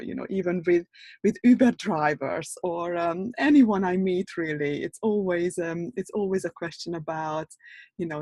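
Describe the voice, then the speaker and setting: A woman's voice: lowish voice, a little mellow, no echo. A woman hosting a podcast interview, asking encouraging questions. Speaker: podcast host.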